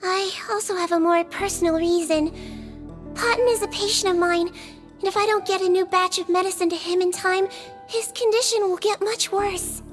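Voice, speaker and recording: A tiny, caring nonhuman head nurse in a video game, her voice pitched extremely high, sweet and soft. She talks earnestly over quiet game music. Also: fairly even intonation, moderate pace. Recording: game audio with music underneath.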